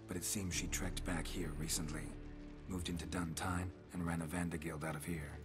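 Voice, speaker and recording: A man voicing a blunt, weary monster hunter in a video game, speaking in terse, deadpan lines. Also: sits low, lightly acted. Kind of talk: cinematic video game dialogue.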